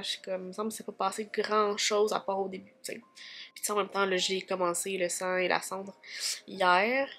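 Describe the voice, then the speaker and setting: Young Québécoise vlogger, stuffy cold, rambling casual diary talk, faint music under. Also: female voice, medium pitch, fairly bright tone. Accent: Québécois French. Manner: chatty, many fillers like "fait que" and "tu sais". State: congested from a cold.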